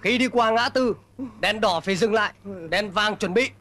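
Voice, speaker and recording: A stern cartoon dog policeman, lecturing officiously. His voice is extremely high for a male, bright and sharp. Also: moderate pace. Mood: scolding. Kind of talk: cartoon dubbing.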